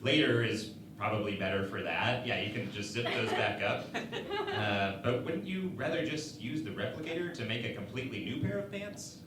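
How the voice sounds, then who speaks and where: A man performs a put-upon holographic assistant for a comic radio play. He is polite and whiny, with very lively intonation. The recording is echoey and noisy. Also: lowish voice, normal speed, recorded from a distance, natural voice. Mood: uneasy. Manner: hesitant, polite, pleading. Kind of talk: comedic parody radio play acting. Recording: echoey room, off-mic, noisy.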